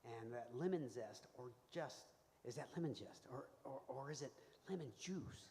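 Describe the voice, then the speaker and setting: A man's voice: medium pitch, expressive, moderate pace, dark tone, natural voice. A man playing a cocky, dim, laid-back braggart of a pie taster in a live radio comedy. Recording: noisy, reverberant hall stage recording.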